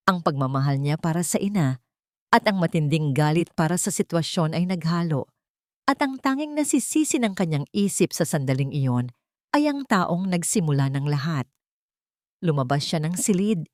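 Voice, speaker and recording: A woman's voice: deep voice, darkish tone, no echo, clean studio sound. A woman reading a scripted dramatic story as its narrator.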